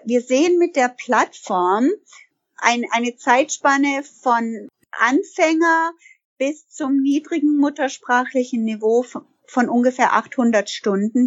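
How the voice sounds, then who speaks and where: Woman, bilingual, somewhat high voice, emphatic, restarts often, lo-fi recording. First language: bilingual German and English. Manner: self-correcting, emphatic. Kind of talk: interview answers.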